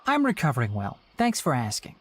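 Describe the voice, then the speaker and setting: A man playing a calm, courteous young forest ranger in a video game, speaking in a measured, articulate way. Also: medium pitch, very noisy. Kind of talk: video game dialogue acting. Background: background music.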